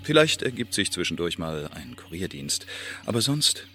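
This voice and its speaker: A man's voice: sits low, very animated, moderate pace, sharp tone, soft background music, full character voice. An actor in a radio play playing a dry, worldly senior secret service man, speaking firmly and wryly. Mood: dry, warning.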